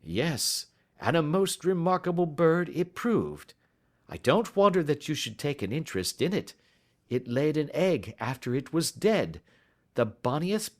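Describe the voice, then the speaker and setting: A male reader plays a commanding gentleman detective in a mystery audiobook. His pitch is raised and very lively, with formal, precise phrasing and a coolly sardonic edge. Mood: dry, teasing. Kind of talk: audiobook character voice.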